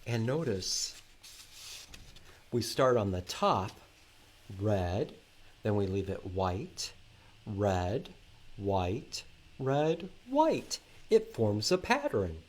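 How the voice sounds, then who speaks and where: Guy doing library storytime for little kids, slow and super animated, asks them simple questions as he reads. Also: lowish voice. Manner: instructional, addressing young children with questions. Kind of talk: children's read-aloud and sing-along.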